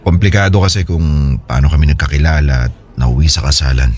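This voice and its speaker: A man's voice: very deep voice, fairly even intonation, fairly quick, darkish tone, faint music behind. An actor with a Filipino accent playing a troubled, hesitant married man in a conversational radio drama style. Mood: troubled, confiding.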